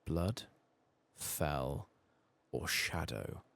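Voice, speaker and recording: A man softly narrating a video game playthrough in ASMR style, with dry, sweary asides. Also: rumbling low, animated, very slow, creaky, no echo. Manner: dry, sweary asides, reads quest text aloud. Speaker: ASMR gaming streamer.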